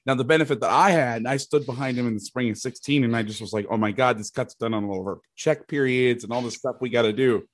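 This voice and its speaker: Loud, rambling football coach. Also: male voice, mid-range voice, quick pace, hardly any pauses, no echo. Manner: rambling, restarts sentences, occasional mild swearing. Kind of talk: casual podcast chat, explaining defensive schemes.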